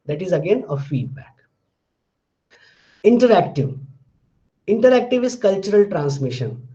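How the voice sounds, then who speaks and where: High-voiced Indian man lecturing methodically. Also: big pitch swings, moderate pace, dark tone, highs cut off. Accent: Indian English. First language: Hindi. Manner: methodical, recaps terms, tags points with "fine". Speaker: linguistics lecturer. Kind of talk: classroom lecture.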